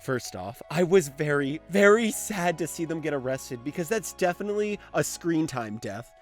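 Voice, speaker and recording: A man's voice: on the high side, lively intonation, normal speed, faint music behind. A young man giving enthusiastic video-essay commentary as a fan, casual and gushing, with some swearing.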